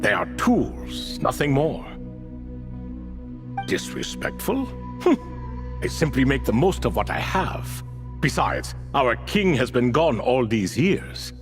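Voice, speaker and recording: A proud, wry figure from a video game, speaking slowly with very sweeping rises and falls in pitch. He sounds measured and dry, with a boastful edge, and game music plays clearly behind him. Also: sing-song. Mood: solemn.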